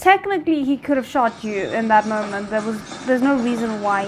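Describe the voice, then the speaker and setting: A woman's voice: a little high, very animated, quick pace, slight background noise, poor audio. A woman giving casual, wry reaction commentary, putting rhetorical questions to the characters on screen. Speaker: reaction video host. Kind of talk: casual live reaction commentary to a drama series.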